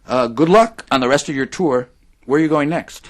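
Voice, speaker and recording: Man playing an affable, mid-pitched radio interviewer. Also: moderate pace, natural voice. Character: affable, matter-of-fact male radio interviewer.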